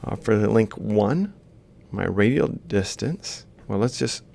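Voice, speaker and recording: A man's voice: fairly low pitch, animated, takes it slowly, creaky, dark tone. A man lecturing informally, thinking aloud as he explains step by step while drawing. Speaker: robotics lecturer.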